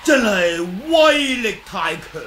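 A cocky, mocking martial swordsman in Hong Kong Cantonese. His delivery is slow but swings widely in pitch, with a bright, forceful edge. It sounds like an old 1980s radio drama. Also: very animated, a little hiss or hum, exaggerated voice. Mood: boastful. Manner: bantering, mocking, exaggerated.

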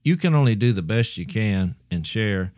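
A middle-aged man with a lowish voice speaks earnestly and with emphasis. He is close on a studio mic, and the sound is dry and direct. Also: lively intonation, normal speed, a little mellow, no room sound. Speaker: podcast co-host. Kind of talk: casual conversation. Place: small dark studio room. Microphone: close studio mic with headphones.

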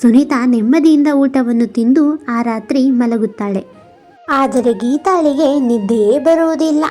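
A woman's voice: high voice, measured pace, dark tone, faint music behind. A woman narrating a scripted moral story.

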